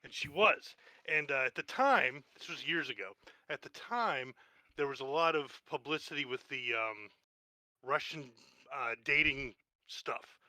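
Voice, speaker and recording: Male tabletop gamer, casual storyteller, strikingly sharp, bright tone, dry close sound. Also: mid-range voice, animated, unhurried, no echo. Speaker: tabletop game player. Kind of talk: casual chat and anecdote.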